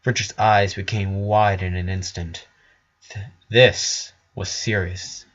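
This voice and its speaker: A man's voice: on the low side, flat delivery, slow, fairly bright tone, muffled recording. A man narrating scripted fantasy fiction as a storyteller.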